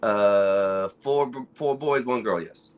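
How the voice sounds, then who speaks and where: A man with a deep voice who grew up speaking Spanish and English, chatting casually as a stream guest in a mumbling, self-deprecating way. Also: takes it slowly, slight background noise. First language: bilingual Spanish and English. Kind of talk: casual livestream chat.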